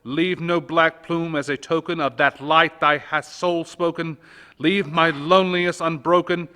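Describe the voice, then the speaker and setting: A man's voice: a little high, fairly even intonation, a little slow, clear, resonant tone, in a large hall, pitched above the performer's own voice. A man voicing a haunted, bereaved scholar who pleads and rages in an impassioned, theatrical Gothic poetry reading. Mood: anguished, desperate. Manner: theatrical, impassioned, swelling to shouts.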